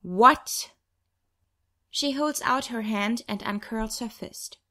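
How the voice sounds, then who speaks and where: A woman narrating a first-person novel as an audiobook reader, in an expressive storytelling manner. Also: fairly high pitch, unhurried.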